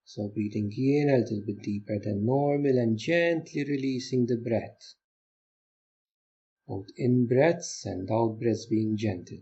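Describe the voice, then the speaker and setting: Husky, mellow man guiding meditation, muffled recording. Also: mid-range voice. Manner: gentle instructional delivery with short phrases and pauses. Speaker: meditation teacher. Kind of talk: guided meditation.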